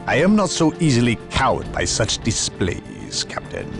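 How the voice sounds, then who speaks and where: An actor playing a zealous, secretive demon-hunting inquisitor in a video game, with a theatrical, measured and ominous manner. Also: male voice, mid-range voice, wildly swooping pitch, gravelly, over music, exaggerated voice. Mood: cold, haughty. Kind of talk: dramatic video game cutscene acting.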